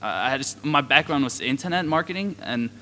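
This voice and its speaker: A man's voice: medium pitch, lively intonation, sharp tone, slight background noise. Hesitant man in a reverberant hall. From Denmark. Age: 20s. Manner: halting, many fillers, light chuckles. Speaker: panel speaker. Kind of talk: conference talk. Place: conference hall.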